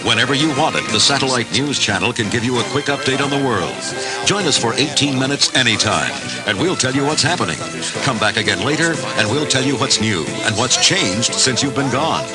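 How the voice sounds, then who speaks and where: An American man with a medium-pitched voice announces a scripted TV promo. Loud music plays right behind his voice. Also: animated, brisk.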